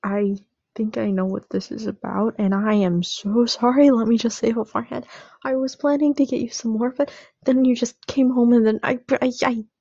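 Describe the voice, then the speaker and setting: A sheepish young boyfriend, acted by a woman in a roleplay audio drama. The voice is mellow and creaky, stammering through pleading apologies at an unhurried pace. The recording is a little dull and lo-fi. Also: a little high, fairly even intonation, dry recording. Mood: flustered apology.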